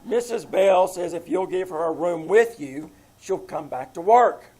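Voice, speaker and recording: An actor playing a calm, coaxing family friend. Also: mid-range voice, unhurried, breathy edge. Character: smooth, reasonable male family friend. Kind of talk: 1940s radio comedy acting, live on stage. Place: small theater stage, live performance.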